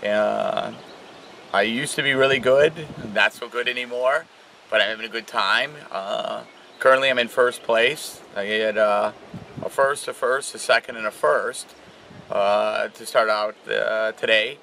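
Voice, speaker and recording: A middle-aged man giving a relaxed outdoor interview about his sailing, with plenty of uh fillers and a modest tone. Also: lowish voice, measured pace, gravelly, slight background noise. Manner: relaxed, many uh fillers, self-deprecating. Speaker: sailor, regatta leader. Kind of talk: casual interview. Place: outdoors by trees and parked cars. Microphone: camera mic.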